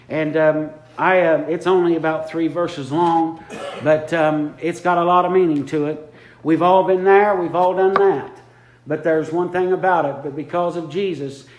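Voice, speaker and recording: A man's voice: a little high, highs cut off. A man preaching a sermon in a church, fervent and repeating his lines for emphasis. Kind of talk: impromptu sermon. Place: church sanctuary. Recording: echoey church room.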